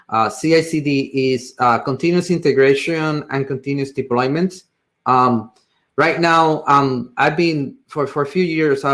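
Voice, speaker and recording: A man with a Puerto Rican accent, a native Spanish speaker, gives an informal technical presentation in halting, hesitant phrases. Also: medium pitch, fairly bright tone. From Puerto Rico. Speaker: tech presenter.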